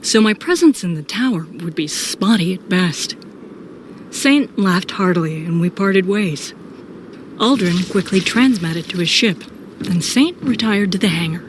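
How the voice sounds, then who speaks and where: A woman's voice: lowish voice, lively intonation, unhurried, a little hiss or hum, rough recording. A woman playing a dutiful guardian warrior, narrating events in a scripted audio drama, weary but resolute. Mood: measured, concerned.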